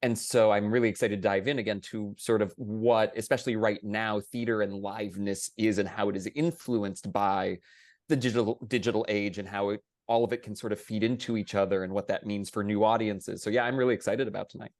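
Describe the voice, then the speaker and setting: Low, dry-sounding male panelist. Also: steady tone, a little fast, darkish tone, no echo. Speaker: panelist, director and producer. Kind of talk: conversational panel talk. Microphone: video call.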